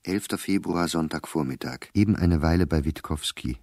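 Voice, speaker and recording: An actor playing a sober, weary male diarist in wartime, reading his entries in a measured, deliberate way for a radio play. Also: rumbling low, a little mellow, no echo, clean studio sound. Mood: tense reporting. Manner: measured, deliberate, clipped diary phrasing.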